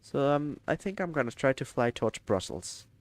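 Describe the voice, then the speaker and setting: A man's voice: mid-range voice, big pitch swings, normal speed, no echo. A man from Denmark, chatting hesitantly with many fillers while streaming a flight simulator. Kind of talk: live stream gameplay chat.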